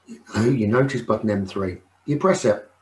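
A man leading a guided meditation in a soothing, unhurried manner with frequent pauses. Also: fairly low pitch, darkish tone. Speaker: meditation teacher.

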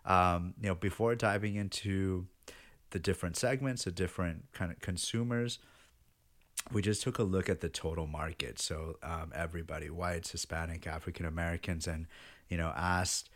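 Male podcast host, easygoing, filler-heavy, very low voice. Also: normal speed. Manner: relaxed, friendly, many fillers. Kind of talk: casual interview podcast.

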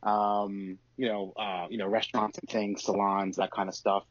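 Low-voiced American man, dry lo-fi podcast sound. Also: flat delivery, moderate pace, no echo. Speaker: podcast host. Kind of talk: casual chat.

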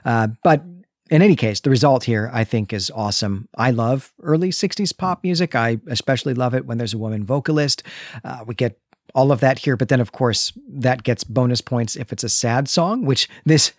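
A man's voice: mid-range voice, normal speed, no room sound. A man with a mid-range voice talks as a podcast co-host. He reviews in a conversational style, enthusiastic and full of opinions. The sound is dry, with very little room.

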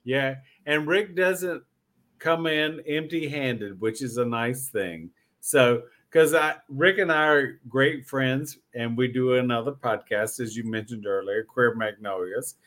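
A man's voice: medium pitch, measured pace, a bit bright. A man hosting a podcast as a casual chat, effusive and joking, often repeating his phrases. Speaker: podcast host.

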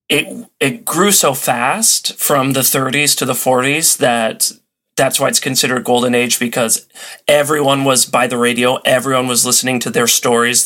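A man's voice: medium pitch, bright, forceful tone. A man speaking as a podcast guest, explaining things in a casual, colloquial and enthusiastic way. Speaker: podcast guest, old-time radio enthusiast. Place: remote video call.

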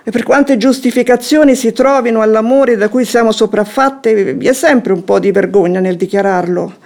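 An actress playing a shrewd, composed wife who feigns affection, with formal, eloquent theatrical phrasing in a radio drama. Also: female voice, fairly high pitch, moderate pace, creaky, darkish tone. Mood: coy, confiding. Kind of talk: theatrical radio drama acting of classic comedy.

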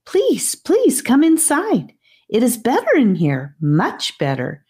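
Fearless child rescuer for fantasy audiobook, voiced by a woman: small high voice, slow, assured. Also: huge pitch swings, husky, heavily acted. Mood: gently inviting. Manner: firm, certain, turning soft and kindly. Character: confident, fearless little girl rescuer in fantasy tale.

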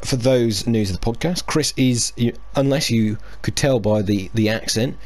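A man's voice: mid-range voice, normal speed, a little mellow. A man hosting a casual sports podcast, chatty and hesitant with lots of fillers and restarts. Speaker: podcast host. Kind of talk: casual chat.

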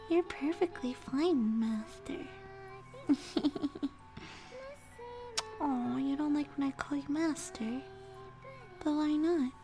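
Woman acting a slow, whispery yandere wolf girl. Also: high-pitched, over music. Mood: teasing, flattered. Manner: breathy whispering mixed with soft speech, teasing, giggly. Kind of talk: ASMR roleplay, intimate address to listener.

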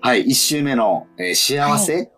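A man hosting a radio show in casual, polite and expressive talk, reading out listener letters. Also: mid-range voice, slow pace, faint music behind.

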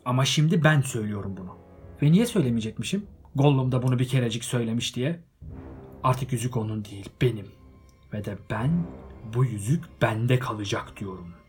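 A man voicing an elderly male hobbit who is possessive and touchy, with shrill outbursts and covetous whispers. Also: lively intonation, fairly quick, darkish tone, soft background music, natural voice.

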